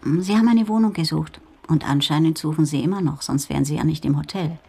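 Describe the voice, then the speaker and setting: A woman's voice: low voice, fast talker, gravelly, mellow, breathy edge, full character voice. An actress playing an eccentric, self-assured woman scientist with a wry, teasing manner in a radio drama. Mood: wry, brisk. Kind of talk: radio crime drama dialogue.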